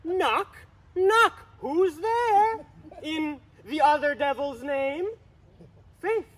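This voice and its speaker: A man's voice: extremely high pitch, exaggerated voice. A young man playing a rambling, grumbling porter at the gates of hell in a dramatic Shakespeare reading, recorded outdoors. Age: teenager. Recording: outdoor recording with traffic noise.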